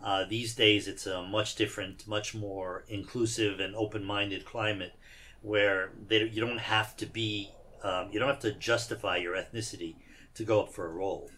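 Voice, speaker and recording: A man who grew up in Iran, an actor answering interview questions in a relaxed way with dry humor and frequent fillers. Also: fairly low pitch, normal speed. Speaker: actor guest.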